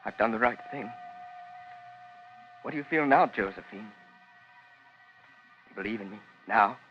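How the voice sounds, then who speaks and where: A troubled young man, played by a male radio actor, speaking in a lowish, halting voice with an intense, awkward earnestness. The old radio recording is dull, with loud music behind it. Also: close to an everyday voice. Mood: dazed, muttering. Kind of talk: old-time radio drama acting.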